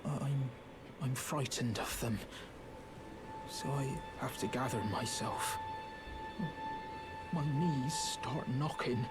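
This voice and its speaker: A man's voice: medium pitch, takes it slowly, long pauses, close to an everyday voice. A man playing a timid, plaintive little outcast creature in a video game, hesitant and stammering as he pleads. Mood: nervous, earnest. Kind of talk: video game character acting. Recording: game audio under loud music. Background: loud game music.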